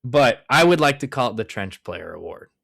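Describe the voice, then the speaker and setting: A man's voice: medium pitch, sing-song, moderate pace, gravelly, a bit bright, no echo, studio quality. A young man in his twenties hosting a podcast, talking casually and rambling with lots of fillers. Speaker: podcast host. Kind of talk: casual chat.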